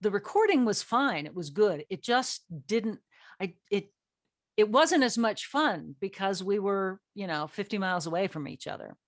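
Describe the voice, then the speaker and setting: Casting: female panel host and actress, medium pitch, conversational storyteller, informal group chat. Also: lively intonation, normal speed, no echo, studio quality. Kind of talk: casual group discussion.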